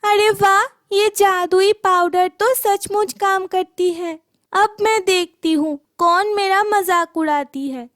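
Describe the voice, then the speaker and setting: A woman voicing a young village girl, wronged and vengeful, in a Hindi animated moral story. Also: very high-pitched, slow, no echo, studio quality, heavily acted. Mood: delighted, resolute.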